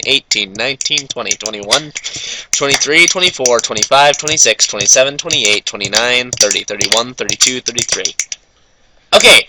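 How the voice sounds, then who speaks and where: A man in a casual group chat, giving blunt, sweary commentary as co-host of a fanfiction reading. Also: medium pitch. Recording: faint background noise and clicks.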